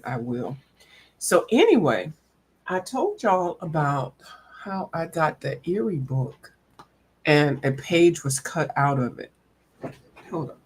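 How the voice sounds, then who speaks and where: A woman's voice: low-pitched, a little hiss or hum. A woman chatting casually to viewers in a folksy, rambling way. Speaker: coloring channel host. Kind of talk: casual chat to viewers about coloring books.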